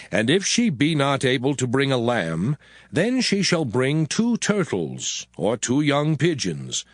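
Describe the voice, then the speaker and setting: A man voicing the Lord giving laws in a dramatized scripture reading, deliberate and emphatic. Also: medium pitch, no room sound, studio quality.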